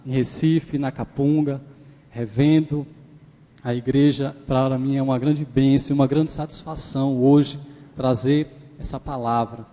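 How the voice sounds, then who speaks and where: A man's voice: mid-range voice, steady tone, measured pace, warm tone. Pernambuco-accented man preaching in a church. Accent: Pernambuco Portuguese mixed with Maranhão. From Pernambuco, Brazil. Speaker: guest preacher. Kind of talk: sermon. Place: large church sanctuary. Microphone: handheld microphone. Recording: church hall reverb.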